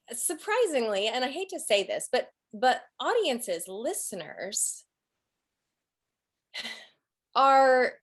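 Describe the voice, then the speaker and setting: A woman speaking casually as an interviewed audiobook narrator, telling anecdotes in an emphatic, halting, expressive way. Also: a little high.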